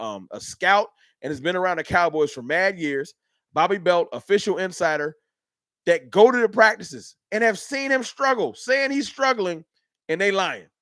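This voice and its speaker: Heated man, high lively voice. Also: no echo. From Dallas, Texas. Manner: animated, argumentative, heated ranting. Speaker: sports fan livestream host. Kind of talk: casual fan rant to live chat.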